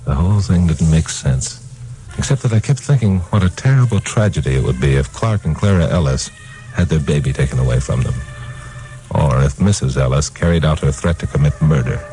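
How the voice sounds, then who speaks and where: An actor with an American accent playing a wry, level-headed investigator in a 1950s radio crime drama, measured and matter-of-fact. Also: male voice, rumbling low, mellow, poor audio. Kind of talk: 1950s radio crime drama acting with first-person narration.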